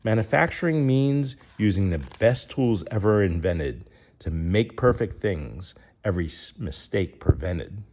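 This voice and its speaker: A man's voice: lowish voice, animated, a little slow, a little mellow. A man reading a rhyming children's picture book aloud as its narrator.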